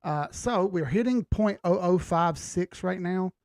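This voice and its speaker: A man with a slightly high voice and a lot of fry in it. He talks like a livestream host, loose and slangy, thinking aloud as he goes. The sound is dry and close. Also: a little slow, darkish tone, dry recording. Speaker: host of a live market analysis stream. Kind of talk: casual live chart commentary.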